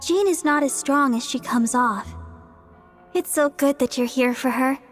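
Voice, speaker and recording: Bright high-voiced church healer, sweet fussing tone, caring, game dialogue. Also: female voice, a little slow, soft background music. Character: cheerful young female church healer, caring.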